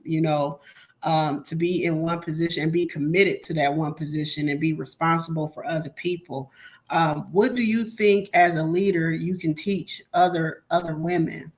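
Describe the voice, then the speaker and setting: A woman hosting an interview, asking questions and giving frequent supportive affirmations. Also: low-pitched. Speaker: interviewer, host. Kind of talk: conversational interview.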